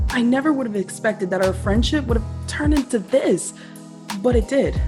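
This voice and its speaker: A woman's voice: fairly high pitch, lightly acted. An actress in an audio drama playing a hurt, guarded younger woman who is insistent with her lover. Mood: vulnerable. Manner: indignant, insistent, emotionally guarded. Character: hurt, demanding younger woman in love with brother's best friend. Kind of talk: contemporary audio drama acting. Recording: music under the voice.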